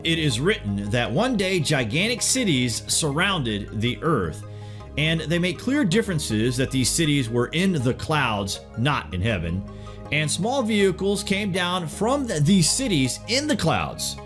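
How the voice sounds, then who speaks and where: A man's voice: fairly high pitch, big pitch swings, unhurried, a bit bright, faint music behind. A man delivers scripted documentary-style narration in a dramatic way, posing rhetorical questions straight to the listener.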